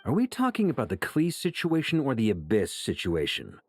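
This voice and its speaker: Animated, earnest hero. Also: male voice, mid-range voice, normal speed, faint music behind. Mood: resolute. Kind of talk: animated game dialogue.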